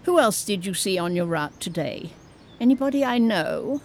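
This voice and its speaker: A woman's voice: medium pitch, lively intonation, normal speed. A woman playing a cantankerous, bawdy, nosy old woman in a comedic audio drama, blunt, wry and grumbling. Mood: cranky.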